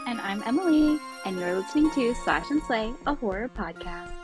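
A woman's voice: fairly high pitch, expressive, a little fast, over music. A woman co-hosting a podcast in casual, rambling chat, talking over a basic home setup on a video call. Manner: bubbly, rambling, says "like" and "literally" a lot. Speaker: podcast co-host.

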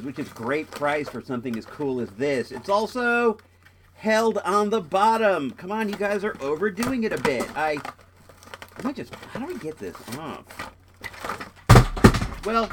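A sick young man with a congested, stuffed-up voice, chatting casually as he unboxes a toy. His pitch swings up and down a lot, and he rambles and restarts his sentences with a joking tone. A faint noise sits behind him. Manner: rambling, jokey, self-interrupting. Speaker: podcast host.

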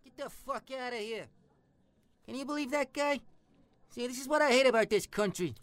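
A brash Italian-American hard man in a comedy sketch, with a very high-pitched voice and a New York accent. He is profane, slangy and menacing, recorded close and dry. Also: moderate pace, fairly bright tone, no room sound. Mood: aggrieved, hostile. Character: foul-mouthed, bigoted Italian-American tough guy, brash and menacing. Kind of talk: comic dialogue acting.

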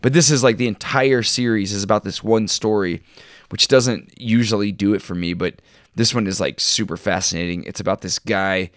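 A man co-hosting a podcast, chatting casually in an informal, halting way and sounding enthusiastic about his favorites. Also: fairly low pitch, fairly even intonation, a bit bright, dry recording, studio quality. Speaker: podcast co-host. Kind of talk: casual chat, recommending shows and books.

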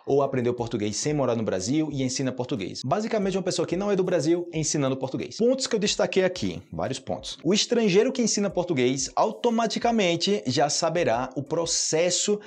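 A man's voice: on the high side, very animated, brisk, hardly any pauses, a little mellow. A Brazilian man hosting a podcast that teaches Portuguese, talking casually and checking in with his listeners often. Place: indoors, hot room.

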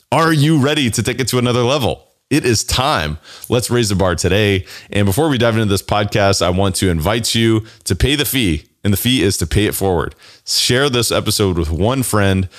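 A man hosting a podcast, energetic and motivational as he introduces a guest and asks questions. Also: on the low side, dry recording, clean studio sound. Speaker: podcast host. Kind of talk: upbeat podcast interviewing.

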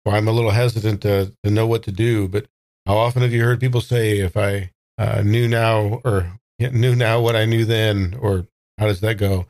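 Guy playing old man reborn young, kinda low voice, unsure, stumbles and repeats words, audio rpg. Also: steady tone, a little mellow, natural voice. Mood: musing, muddled. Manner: halting, repeats words, muddled. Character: old man reborn in eighteen-year-old body, uncertain. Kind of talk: improvised actual-play audio drama.

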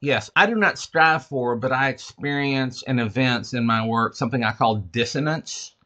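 Man with a lisp, bright forceful voice. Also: mid-range voice, moderate pace. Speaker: interview guest, fiction writer and teacher. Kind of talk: conversational literary interview, discursive explanations.

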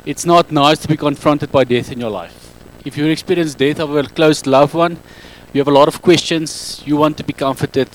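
A man's voice: mid-range voice, a little hiss or hum, low-quality recording. Afrikaans-accented man giving a talk. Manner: halting, self-correcting non-native English with frequent ums. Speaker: speaker at an evening talk. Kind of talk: public talk to a live audience. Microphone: handheld mic.

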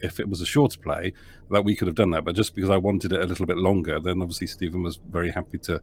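Low-voiced man producer with many fillers. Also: fairly even intonation, quick pace, darkish tone, a little hiss or hum. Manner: rambling with frequent fillers and restarts. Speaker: audio drama producer. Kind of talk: casual podcast interview.

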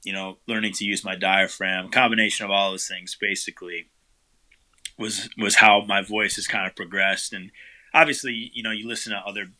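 A man's voice: fairly low pitch, normal speed, poor audio. A man, the guest vocalist, answering interview questions casually, with lots of fillers and loose rambling. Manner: relaxed, rambling, many fillers.

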